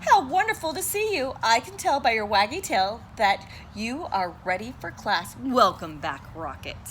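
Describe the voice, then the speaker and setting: A woman's voice: a little high, sing-song, moderate pace, a little hiss or hum. A woman voicing a cheerful little female bird who teaches, speaking in a chirpy, sing-song way. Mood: delighted.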